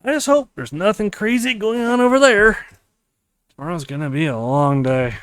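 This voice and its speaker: A man's voice: sits high, expressive, normal speed, fairly bright tone, smooth, no room sound, pitched above the performer's own voice. A man voicing an earnest, reassuring young male college student in a visual novel, coaxing and confident. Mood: weary, resolved.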